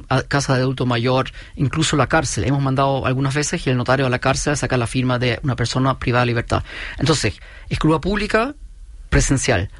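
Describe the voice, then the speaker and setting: A man in his forties with a German-Chilean accent, a company founder calmly explaining his business point by point in an interview. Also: mid-range voice, no echo. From Chile, raised in Germany. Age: 40s. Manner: steady, methodical, explains point by point.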